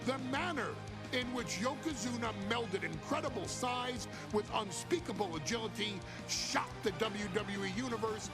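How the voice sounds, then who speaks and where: A man narrating a scripted documentary in a gravelly voice. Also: sits high. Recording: loud music under the voice. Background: loud music.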